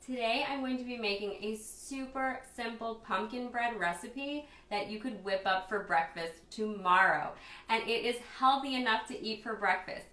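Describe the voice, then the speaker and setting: A slightly hoarse woman hosting a casual cooking demonstration, chatty and upbeat as she talks straight to viewers. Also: a little high, moderate pace, pushed and bright. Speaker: cooking show host and chef. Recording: roomy echo, distant microphone.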